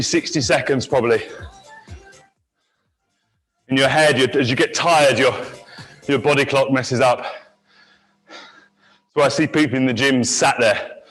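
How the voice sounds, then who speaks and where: A man working as a fitness instructor, leading a live high-intensity class with encouraging talk, countdowns and short barked cues. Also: a bit bright. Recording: music playing behind the voice.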